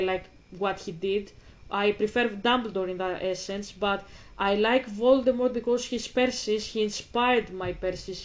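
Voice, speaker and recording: A woman's voice: medium pitch, poor audio. A woman in her twenties with a Greek accent, hosting a casual commentary, rambling with many restarts and repeats. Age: 20s. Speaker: host.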